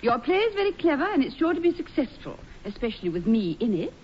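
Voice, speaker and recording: An actress playing a glamorous English stage star in a 1940s radio comedy, grand, insistent and theatrical. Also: female voice, high-pitched, sing-song, quick pace. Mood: breezily haughty. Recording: dull, noisy 1940s radio recording.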